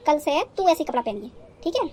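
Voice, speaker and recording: A woman's voice: high voice, big pitch swings, fast talker, warm tone, a little hiss or hum. A woman playing a fussy older village mother-in-law in a cartoon, with a rural North Indian accent and a folksy, repetitive way of talking. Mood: explaining. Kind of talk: cartoon story dialogue in rustic dialect.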